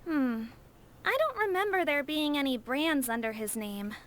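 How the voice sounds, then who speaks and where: A careful young investigator in a game, matter-of-fact as she sets out what she knows. Her voice is fairly high, with a faint hiss behind it. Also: expressive, normal speed. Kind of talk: game voice acting. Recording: game audio with faint noise.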